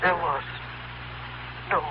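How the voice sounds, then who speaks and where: An actor playing a mysterious, remorseless stranger who speaks in stilted, formal phrases in a 1970s radio drama. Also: male voice, slow, natural voice. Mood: cold, formal. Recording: noisy, muffled old radio recording.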